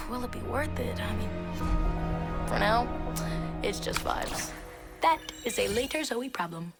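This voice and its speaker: Bubbly young streamer girl, high lively voice, game cutscene with loud music. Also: measured pace, pauses often. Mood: reflective. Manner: chatty, upbeat, playful. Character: sassy young woman streamer, hacker.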